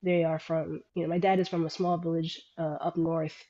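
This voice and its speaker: A low-voiced woman in her thirties answers interview questions over a video call, her tone dark and mellow. She speaks thoughtfully, pausing and restarting as she gathers her words. The call audio is dull and lo-fi. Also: level tone. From Sudanese parents, grew up in America. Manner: thoughtful, halting, many restarts and fillers. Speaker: guest. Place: small room indoors. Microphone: earbuds on a video call.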